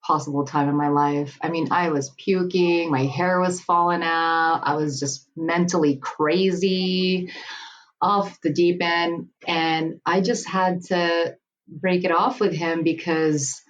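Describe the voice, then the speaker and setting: A woman in her forties with a notably low voice, speaking openly and laughing easily in a relaxed conversation. The recording sounds a little dull, with faint noise underneath. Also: slow pace. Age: 40s. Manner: candid, laughs often. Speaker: guest. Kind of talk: casual conversation.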